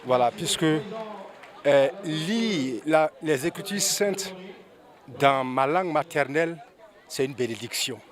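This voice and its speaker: A man's voice: a little high, lively intonation, slow pace, some chatter behind. A man interviewed at an event, speaking hesitantly as he searches for words. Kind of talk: news vox pop.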